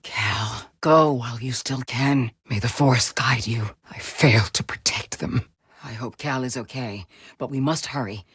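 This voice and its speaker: A woman playing a wise, resolute Jedi mentor and resistance leader in a video game, speaking in a measured, solemn, commanding way. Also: very deep voice, husky, dry recording, exaggerated voice. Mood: worried, sorrowful. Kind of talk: dramatic video game character acting.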